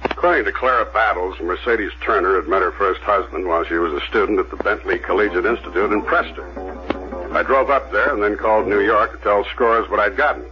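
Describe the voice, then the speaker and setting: An actor playing a steady, self-assured police detective who questions and narrates in a measured, terse way in a 1970s radio drama. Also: male voice, fairly low pitch, soft background music, natural voice. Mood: matter-of-fact, assured. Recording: dull, noisy vintage 1970s radio recording.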